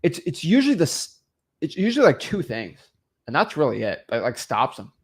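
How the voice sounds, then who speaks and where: A young man and host delivers a motivational coaching talk, pushing his points with rhetorical questions and constant "right?" check-ins. His voice sits somewhat high for a man and swings widely in pitch. The delivery is emphatic and lively throughout. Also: fairly quick. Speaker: online real estate coach.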